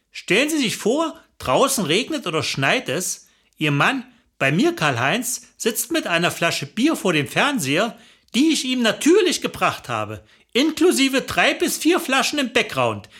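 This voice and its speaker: A woman's voice: fairly high pitch, a bit bright, slightly rough. An older woman telling a comic story in a chatty, gossipy way, chuckling as she goes. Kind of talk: comic monologue.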